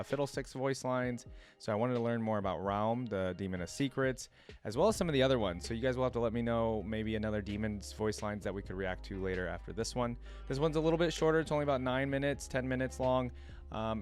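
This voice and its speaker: A man hosting a casual reaction video, musing aloud with lots of fillers and repeating lines back to himself. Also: on the low side.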